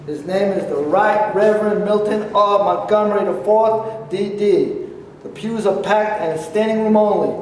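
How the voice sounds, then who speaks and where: A man's voice: sits high, measured pace, darkish tone, a little hiss or hum. A man reading stage directions in a plain, matter-of-fact way, heard from some distance in a reverberant hall. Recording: echoey hall from far off, audience around.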